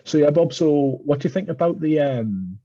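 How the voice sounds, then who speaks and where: A man's voice: on the high side, expressive, normal speed, dark tone, no room sound, muffled recording. A man with a Geordie accent, hosting a podcast in casual chat and telling long, winding stories full of fillers. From Newcastle, England. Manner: rambling storyteller with many fillers. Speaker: podcast host.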